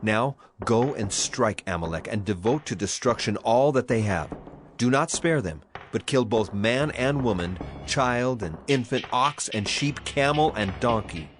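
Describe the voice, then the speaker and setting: An actor playing a grave, authoritative prophet in a dramatized audio Bible, pronouncing commands solemnly. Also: male voice, medium pitch, lively intonation, a little slow, slight background noise, close to an everyday voice. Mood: grave, commanding. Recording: music under the voice.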